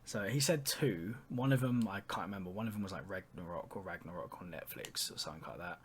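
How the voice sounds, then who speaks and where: A man's voice: sits low, quick pace. A man hosting a casual podcast, chatting about anime in a teasing, sweary, slangy way. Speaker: podcast host. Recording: noticeable background noise.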